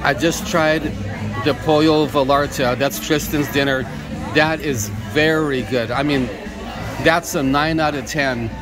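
A man's voice: fairly high pitch, fairly even intonation, measured pace, low-quality recording. Upbeat man reviewing food over restaurant chatter. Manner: upbeat, chatty, simple praise words. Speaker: vlog host. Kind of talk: casual food review vlog. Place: busy restaurant dining room. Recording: restaurant music and crowd noise. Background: background music and diners chatting.

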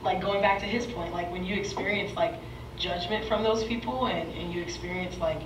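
A lively young woman chats casually on a panel, chiming in to agree. Her voice is video playback in a roomy hall, far off with noise behind. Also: on the low side, brisk. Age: young adult. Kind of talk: panel discussion. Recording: video played in an echoey hall, off-mic, noisy.

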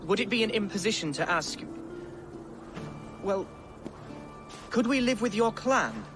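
High-voiced, boyish young lord. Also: male voice, lively intonation, music in the background. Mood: hesitant, hopeful. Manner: hesitant, earnest, halting. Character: gentle, eager, boyish young Anglo-Saxon lord. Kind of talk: video game cutscene acting.

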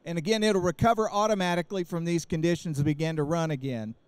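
A man's voice: on the high side, fast, clean tone, dry recording. A man in his forties giving a technical product presentation at a trade show, speaking into a handheld microphone, explaining as he goes with many fillers and restarts. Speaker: company presenter. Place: large indoor trade show booth.

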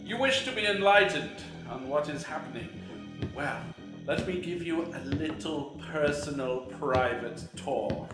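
Man playing a high-pitched, theatrical villain scientist. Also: lively intonation, a little slow. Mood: cool menace. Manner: theatrical, grandly formal. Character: sinister male scientist villain, smooth, menacing, condescending. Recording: echoey, loud music bed.

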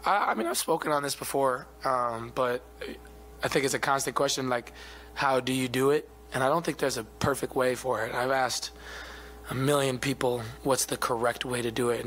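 Athlete in his twenties, steady low-key answers at a postgame presser, table mic, light hum. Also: rough recording. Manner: soft-spoken, even, many fillers. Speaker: basketball player. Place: arena press room. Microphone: table mic in front of him.

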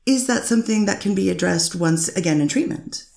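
A woman's voice: medium pitch, lively intonation, brisk, vocal fry, a little mellow, reverberant. A woman reading the part of a defense attorney methodically questioning a witness in a courtroom transcript. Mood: measured. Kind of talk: readers theater courtroom reading.

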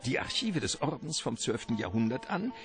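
Radio play villain: courtly, long-winded treasure hunter, gravelly, with swooping, theatrical delivery. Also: male voice, medium pitch, sing-song, moderate pace, soft background music. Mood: relishing, lecturing. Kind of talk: radio drama acting, storytelling monologue.

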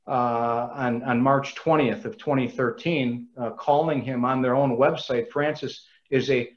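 Casting: earnest man lecturer on a video call, mid pitch, muffled audio. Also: fairly even intonation. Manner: earnest, measured, quotes sources at length.

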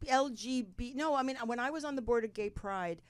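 A woman's voice: a little high, fairly quick, no echo. A middle-aged woman hosting a casual podcast chat, asking probing questions and teasing her guests playfully.

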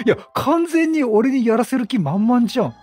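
Male game streamer, husky high voice, chatty joking commentary, music underneath. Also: lively intonation, a little mellow. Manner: chatty, quick joking reactions. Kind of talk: casual let's-play commentary.

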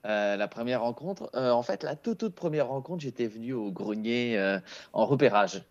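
A man's voice: medium pitch, expressive, moderate pace, dry recording. A man in his thirties, a comedian guest, chatting easily over a video call from home, laughing often. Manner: easygoing, laughs often, many fillers. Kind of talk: relaxed interview chat. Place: small room at home. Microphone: earphone headset on video call. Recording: slightly dull call audio.

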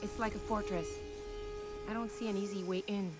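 A woman's voice: medium pitch, fairly even intonation, moderate pace, loud music behind the voice, close to an everyday voice. A woman playing an observant, matter-of-fact hacker accomplice in a video game. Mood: wary.

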